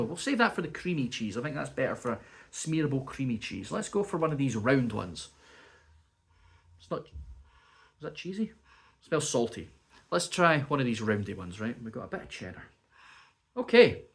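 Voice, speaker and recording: A man's voice: mid-range voice, big pitch swings, moderate pace, pauses often, fairly bright tone. A man chatting casually as he taste-tests cheese and crackers at home, still sniffly and coughing from a bug. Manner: chatty, muses aloud while tasting. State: lingering cough, a bit sniffly. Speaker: food reviewer. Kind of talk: casual food review vlog. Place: indoors at home.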